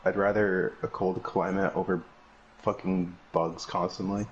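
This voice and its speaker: A man's voice: low voice, little pitch movement, unhurried, dull audio, slight background noise. A man chatting bluntly while playing a game with friends, swearing casually. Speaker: guest player. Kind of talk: casual gaming chat.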